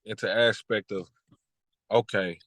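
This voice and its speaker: A man in his thirties from Kentucky, a music artist talking casually in an interview, relaxed and repeating his phrases. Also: lowish voice, unhurried, no echo. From Lexington, Kentucky. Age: 30s. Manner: laid-back, repeats "you know what I'm saying".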